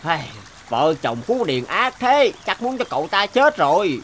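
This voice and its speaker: A man's voice: high-pitched, very animated, unhurried, a bit bright, a little hiss or hum, exaggerated voice. A man voicing a pitying village onlooker in a folktale cartoon, exclaiming and lamenting. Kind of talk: dubbed folktale cartoon acting.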